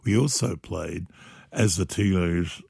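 Older man, low gravelly voice, husky, dry close sound, animated storyteller. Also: takes it slowly, no echo. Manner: animated storyteller, laughs often. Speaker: musician guest. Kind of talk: reminiscing interview chat.

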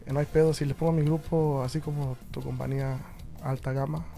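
A man in his twenties from Indianapolis, a band's lead singer, talking in an excited, slangy way in an interview. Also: mid-range voice, fast talker, vocal fry, faint music behind. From Indianapolis, USA. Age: 20s. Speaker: band singer. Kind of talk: casual interview.

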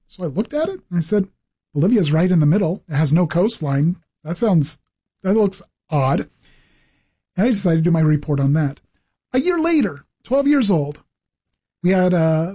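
A man's voice: on the high side, warm tone, breathy edge. A middle-aged man from the Pacific Northwest with an American accent, telling his own story conversationally as an interview guest. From Pacific Northwest, United States. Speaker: interview guest, ministry executive director.

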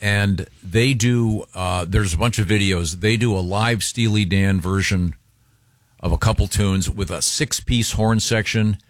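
A man's voice: fairly low pitch, unhurried, no echo, studio quality. An American man hosting a casual comedy talk show, bantering with colleagues in hesitant, stop-and-start phrases. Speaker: radio show host.

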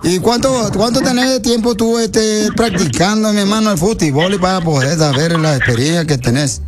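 A man's voice: high voice, normal speed, over music, lightly acted. A man playing a bumbling, earnest soccer recruiter on a prank call, stumbling through muddled questions with mock seriousness.

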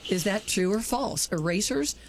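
Woman host, lively up-and-down voice, laughs often, quizzing guests, faint background hum. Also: medium pitch, normal speed. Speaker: radio co-host running a trivia game. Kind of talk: lively studio banter.

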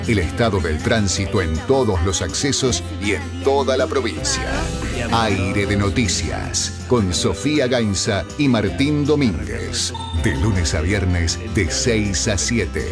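A man with a very deep, gravelly voice reads radio commercials. His delivery is slow but his pitch moves a lot. Loud background music plays under his voice. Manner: slow, deliberate announcing. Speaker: radio announcer. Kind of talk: scripted commercial voice-over.

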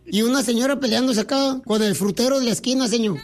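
A man's voice: very high voice, fast. A man playing a rambling, teasing prank caller in a comic phone sketch.

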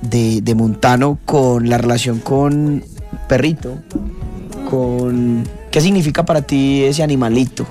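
Un hombre que conduce un programa de radio, haciendo preguntas juguetonas y dirigiendo un juego de palabras. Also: male voice, medium pitch, fairly even intonation, a little slow, dark tone, soft background music. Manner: playful questioner, running a word game. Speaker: radio host. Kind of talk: casual radio interview.

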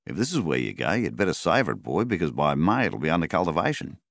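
A man voicing a gruff, hungover middle-aged bush farmer who talks bluntly and coaxes his dog. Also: rumbling low, very animated, quick pace, a bit bright, no room sound, clean studio sound, heavily acted. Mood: musing.

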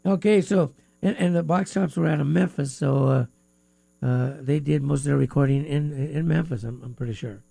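A man hosting a live music talk show in a radio studio, his voice gravelly and a touch higher than most men's. His nose is stuffy, and he rambles along with plenty of fillers and restarts. The sound is dry and close. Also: moderate pace, mellow, dry recording. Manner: rambling, filler-heavy, chatty.

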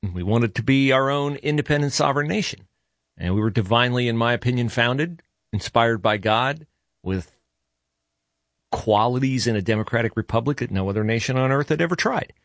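A man's voice: mid-range voice, expressive, a little fast, no room sound, clean studio sound. A man hosting a talk radio show, speaking in a conversational, opinionated monologue with frequent fillers and self-corrections. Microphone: studio mic.

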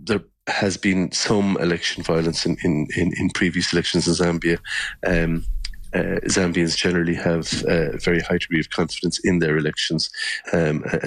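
Deep-voiced, halting Irish man. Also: no echo. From Ireland. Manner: halting, often repeating words mid-sentence. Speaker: election observer and politician giving interview. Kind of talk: spontaneous news interview answers.

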